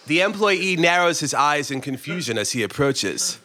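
A man's voice: on the high side, sing-song, normal speed, pushed and bright, slight background noise. A man reading a comic story aloud with theatrical, dramatic delivery, acting out characters as he goes. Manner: theatrical, acts out characters, breaks into chuckles. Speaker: story reader, performer. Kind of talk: dramatic comic audiobook narration.

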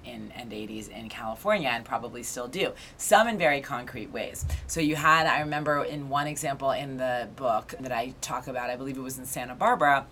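A woman in her thirties, an author being interviewed, telling her story in a lively way with frequent restarts and "right?" tags. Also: rumbling low, slight background noise. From Argentina. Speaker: guest, author and education researcher. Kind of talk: conversational interview.

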